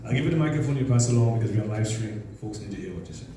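A man's voice: lowish voice, expressive, rapid-fire, warm tone, far from the mic, slight background noise. Man announcing in an echoey hall. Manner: instructing, with restarts. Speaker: host of a school graduation. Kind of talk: event announcing. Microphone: handheld mic, far camera.

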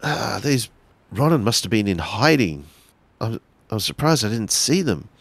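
A man's voice: on the low side, very animated, a little slow, no room sound, pitched above the performer's own voice, heavily acted. Daisuke, a man as a courteous wounded samurai archer. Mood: rueful.

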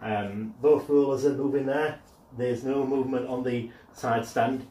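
A man's voice: medium pitch, steady tone, unhurried, mellow. A man casually demonstrating a product in his home garage, filming on his mobile phone and talking with frequent ums and uhs. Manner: hesitant, many ums and uhs. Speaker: product reviewer. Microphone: mobile phone camera. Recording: echoey garage.

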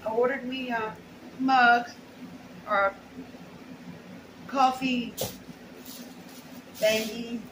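A woman's voice: on the high side, level tone, very slow, pauses often. A middle-aged woman chats casually through an unboxing at home, rambling in a folksy way with muttered asides. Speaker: haul vlogger. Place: small bare room at home. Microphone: camera or phone a short distance away. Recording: roomy echo, clear background noise.

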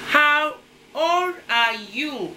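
A woman's voice: sits high, animated, sharp tone, a little hiss or hum, low-quality recording. Hesitant Japanese-accented woman learning English. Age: 40s. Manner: hesitant, repeats phrases slowly while learning. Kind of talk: online English lesson. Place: small indoor room at a desk. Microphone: webcam on desk.

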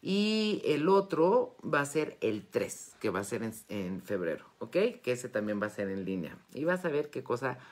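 A woman's voice: extremely low pitch, very animated, normal speed, hardly any pauses, darkish tone. A woman in her fifties with a Mexican accent, chatting casually and effusively with followers in a live session. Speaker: wellness and metaphysics teacher hosting a live Q&A. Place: indoors, home study with bookshelves. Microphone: phone or tablet at arm's length.

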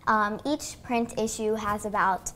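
A woman's voice: medium pitch, fairly even intonation, unhurried, pushed and bright, a little hiss or hum. A young author from Pennsylvania telling her stories eagerly in a home kitchen, often starting with "um". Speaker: guest author. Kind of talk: interview answers.

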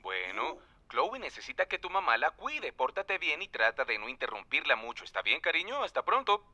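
Kind cartoon dad with lively intonation. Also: male voice, a little high, moderate pace, full character voice. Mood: fond, reassuring. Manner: fond, reassuring. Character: kind, calm cartoon father. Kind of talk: animated children's series dub.

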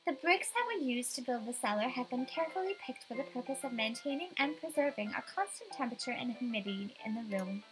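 A woman's voice: fairly high pitch, animated, fast, hardly any pauses, faint music behind, lightly acted, low-quality recording. A woman voicing an eager, polite young female estate agent giving an emphatic sales presentation in a visual novel. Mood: eager, courteous.

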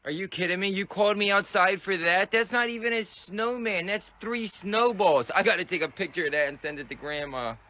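A man's voice: high voice, fairly quick, few pauses, bright, forceful tone. A man voicing a lazy, sarcastic teenage big brother who mocks his younger sibling. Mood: mocking lazy. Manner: mocking, lazy drawl.

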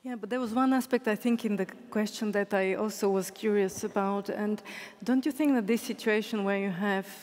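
A woman's voice: mid-range voice, steady tone, normal speed, a little mellow. Moderator woman in an echoing hall. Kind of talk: panel moderation. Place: stage of a large hall. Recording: long hall reverb.

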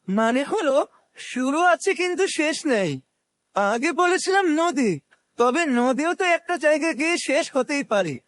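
A man voicing an earnest, thoughtful young cartoon hero who explains things deliberately. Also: extremely high pitch, fairly bright tone, dry recording, full character voice. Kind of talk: cartoon voice acting.

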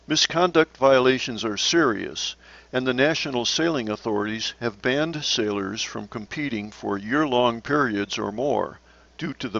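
A man narrating scripted slides as an instructor, in a measured, explanatory manner. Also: medium pitch, creaky, fairly bright tone, highs cut off. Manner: measured, instructional, poses questions to the learner. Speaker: sailing instructor.

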